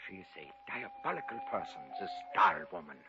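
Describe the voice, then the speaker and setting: An actor playing a grave, commanding old occult expert, theatrical and solemn, in a 1940s radio horror drama. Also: male voice, sits low, big pitch swings, brisk. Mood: grave, intense. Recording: muffled old radio recording with music.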